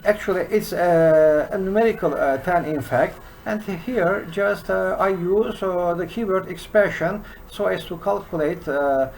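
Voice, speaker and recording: Halting Turkish-accented older man teaching code. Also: sits high, gravelly, darkish tone, slight background noise. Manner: halting, with many uh fillers. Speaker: programming teacher. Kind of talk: screen-recorded coding lesson. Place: small room indoors.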